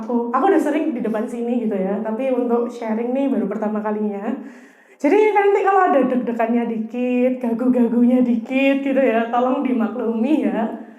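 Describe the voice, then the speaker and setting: A woman's voice: fairly high pitch, a little fast, warm tone, highs cut off. Chatty nervous young woman preacher. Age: 20s. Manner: chatty, self-deprecating, nervous. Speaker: youth church speaker. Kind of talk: casual youth sermon with audience interaction. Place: church hall, live audience.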